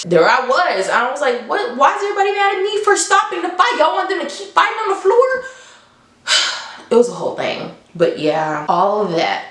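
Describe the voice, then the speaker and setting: Casting: young woman, slightly high voice, informal storytime vlogger, emphatic and exasperated, slangy delivery, echoey room sound. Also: fairly bright tone. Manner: emphatic, exasperated, repeats phrases for effect; informal slangy speech, acts out dialogue. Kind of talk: casual animated storytelling.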